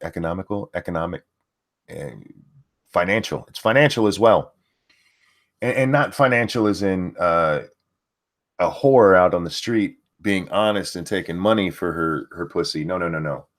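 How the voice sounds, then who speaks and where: A man's voice: low voice, moderate pace. An older man hosting a livestream, mocking what he reads aloud in crude, sarcastic, swearing commentary. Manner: reads chat and an article aloud. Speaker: livestream host.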